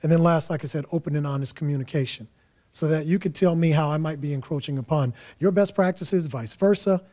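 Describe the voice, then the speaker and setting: A man's voice: a little high, a little fast, warm tone. A man who coaches and mentors students, giving an emphatic motivational talk with punchy repetition.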